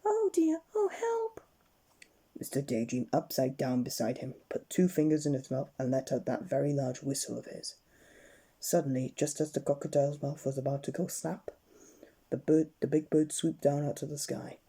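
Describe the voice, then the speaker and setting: A woman reading a bedtime story aloud with expressive, dramatic narration. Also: extremely low pitch, normal speed, slightly rough.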